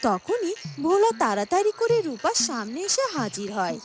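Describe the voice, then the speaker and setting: A woman's voice: sits high, very animated, moderate pace, slight background noise. A woman reading scripted narration as the storyteller of a cartoon tale. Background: music.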